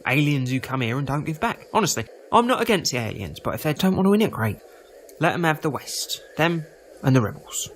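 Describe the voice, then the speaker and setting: An actor playing a prejudiced, chatty market-stall trader in an audio drama, rambling in a folksy, defensive way. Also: male voice, a little high, sing-song, brisk, fairly bright tone, slight background noise. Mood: self-righteous. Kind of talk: full-cast audio drama acting.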